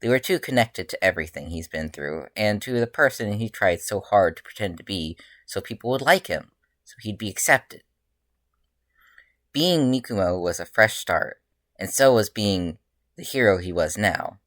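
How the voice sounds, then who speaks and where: A person reading their own fan fiction aloud as narrator, with expressive, emphatic delivery. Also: low-pitched, moderate pace, dry recording.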